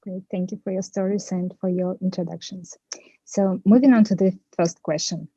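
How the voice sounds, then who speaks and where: A woman's voice: lowish voice, fairly even intonation, normal speed, warm tone, husky, dry recording. Formal woman moderator on a dull video call. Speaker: panel moderator. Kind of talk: reading speaker introductions.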